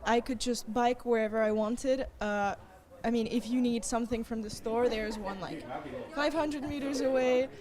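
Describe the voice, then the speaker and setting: Young woman student talking about her studies, slightly high voice, pauses a lot to think. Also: fairly even intonation, a little mellow, some chatter behind. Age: young adult. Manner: thoughtful, with frequent pauses and fillers. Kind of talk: interview answers.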